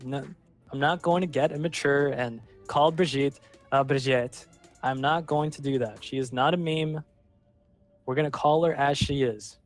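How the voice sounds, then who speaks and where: A man casting a live online match, calling the action and filling pauses with rambling, self-deprecating asides. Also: medium pitch, soft background music. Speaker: esports caster. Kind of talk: live game commentary.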